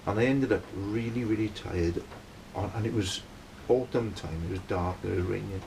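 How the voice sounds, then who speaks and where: A man's voice: on the low side, moderate pace, vocal fry, darkish tone, slight background noise, low-quality recording. A middle-aged Welsh man telling a long personal story in a casual, rambling way, checking in with his listeners as he goes. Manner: rambling, keeps checking in with "right?". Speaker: band member telling a story.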